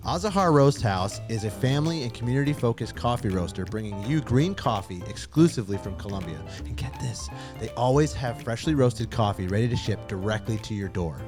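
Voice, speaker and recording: A man's voice: medium pitch, animated, a little fast, hardly any pauses, over music. A man hosting a podcast from a small studio, reading an upbeat introduction and then chatting casually and laughing easily with his guest. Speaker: podcast host. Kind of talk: read sponsor intro, then casual chat.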